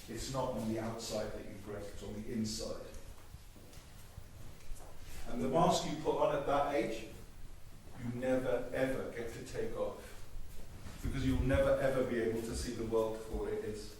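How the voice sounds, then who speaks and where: A man's voice: mid-range voice, fairly even intonation, normal speed, a little mellow, lightly acted. An actor playing a furious father defending his son, brooding and bitter in a theatre monologue. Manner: bitter, brooding, bursting into rage. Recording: roomy stage, distant mic, faint hiss.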